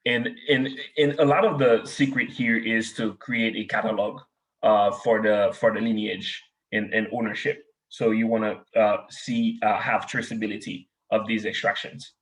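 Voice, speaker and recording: A man with a Haitian accent, native in French and Creole, giving a technical webinar talk and answering questions, with many filler pauses. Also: lowish voice, level tone, poor audio. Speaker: webinar speaker. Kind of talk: technical presentation and Q&A.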